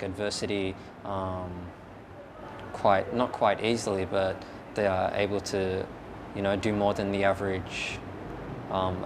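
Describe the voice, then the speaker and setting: A man giving measured, hesitant answers in a documentary interview. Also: fairly low pitch, noisy background.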